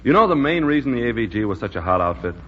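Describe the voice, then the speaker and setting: An actor playing a firm, patient American squadron commander, plainspoken, in a 1940s radio drama style. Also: male voice, mid-range voice, sing-song, quick pace, natural voice. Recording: muffled, noisy vintage radio recording.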